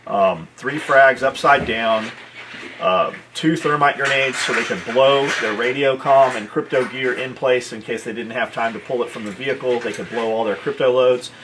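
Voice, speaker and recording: A man hosting a military gear video chats off the cuff, blunt and profane, with halting explanations. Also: mid-range voice. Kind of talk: casual unscripted chat. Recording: clear background noise.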